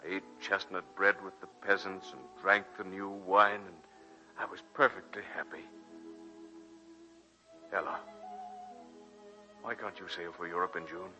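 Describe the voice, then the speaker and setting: An actor playing an earnest, romantic college professor with a literary, measured manner in a 1940s radio drama. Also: male voice, sits low, fairly even intonation. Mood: wistful, ardent. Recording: muffled vintage 1940s radio broadcast, music behind.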